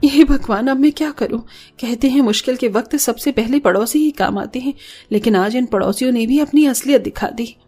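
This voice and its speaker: A woman voicing a poor, worried, gentle mother in a cartoon story, earnest and plaintive. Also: fairly high pitch, fairly quick, natural voice. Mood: despairing.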